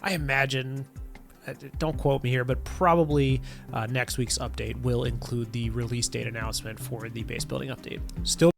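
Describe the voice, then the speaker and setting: A man's voice: mid-range voice, steady tone, moderate pace, gravelly. A man in his thirties with a stuffy nose from a cold, giving a chatty, loose game update from a home studio on a desk mic. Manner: chatty, loose, lots of fillers. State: nasal from a cold. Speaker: game developer community manager. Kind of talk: casual update video. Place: home studio with neon lights. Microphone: desk podcast mic. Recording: music bed under the voice.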